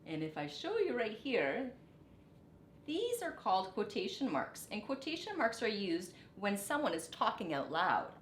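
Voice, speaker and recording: Female teacher for picture-book narration: explanatory, highly varied intonation, unhurried, recorded in an echoey room. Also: wildly swooping pitch. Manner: explanatory, questioning, addressing children. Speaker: teacher reading aloud. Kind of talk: storybook narration with teaching asides. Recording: roomy echo, background noise.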